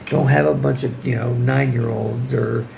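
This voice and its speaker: A hoarse man with a deeply gravelly, fry-heavy voice talks through classroom tips in a loose vlog style. He sounds firm and direct but informal, and his voice sounds strained and worn as it fades. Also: steady tone, moderate pace, dark tone, slight background noise, poor audio. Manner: informal, firm, checks in with "okay?". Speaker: English teacher. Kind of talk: casual advice vlog.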